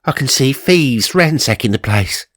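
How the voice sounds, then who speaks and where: A man's voice: mid-range voice, animated, unhurried, fairly bright tone, dry recording, clean studio sound, pitched above the performer's own voice. A man voicing a campy, gushing psychic medium in comic storytelling, with theatrical exclamations and arch endearments. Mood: dramatic, urgent.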